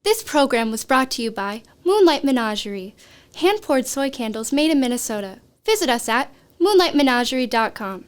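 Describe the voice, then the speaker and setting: A woman's voice: high voice, big pitch swings, moderate pace, smooth. A woman playing a formal radio announcer and newsreader in a scripted radio play. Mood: promotional.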